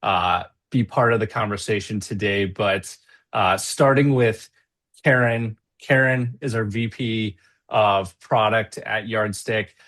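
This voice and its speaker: Chatty low-voiced webinar host man. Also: takes it slowly, fairly bright tone. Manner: chatty, upbeat, many fillers. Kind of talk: casual webinar hosting.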